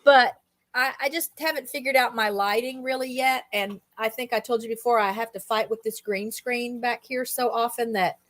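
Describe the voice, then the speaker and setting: A woman with a high voice hosts a relaxed livestream. She chats in a folksy way as she interviews her guest. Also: moderate pace. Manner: chatty, folksy. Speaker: livestream host. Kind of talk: casual chat, interviewing a guest.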